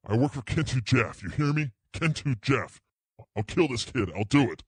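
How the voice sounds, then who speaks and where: A man's voice: mid-range voice, animated, normal speed, breathy edge, no room sound. A man playing a menacing, desperate criminal henchman in an audio drama. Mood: desperate, threatening.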